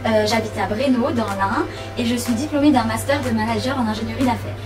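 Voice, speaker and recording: A woman in her twenties giving a casual workplace testimony, pausing often. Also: fairly high pitch. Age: 20s. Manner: hesitant, filler pauses. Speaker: company employee giving testimony. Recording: distant mic, background music.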